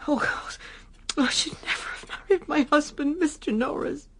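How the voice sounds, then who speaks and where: A woman's voice: high-pitched, moderate pace, fairly bright tone, slight background noise. An actress playing a timid, earnest married woman in a radio drama, hesitant, deferential and easily flustered. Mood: flustered, distressed.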